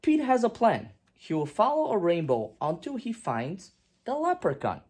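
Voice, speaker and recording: A woman reading a children's picture book aloud in an animated, expressive way with emphatic swings and hushed drops. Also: mid-range voice, moderate pace, slightly rough.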